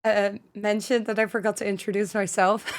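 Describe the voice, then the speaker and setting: A woman's voice: mid-range voice, flat delivery, moderate pace. A woman hosting a casual podcast chat, not a native English speaker, friendly and giggly with fillers. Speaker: podcast host.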